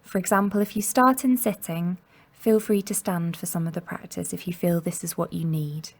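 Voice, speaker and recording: Creaky-voiced woman guiding meditation. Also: on the low side, fairly even intonation. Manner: gentle, unhurried, soothing instruction with long pauses. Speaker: mindfulness meditation guide. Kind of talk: guided body scan meditation narration.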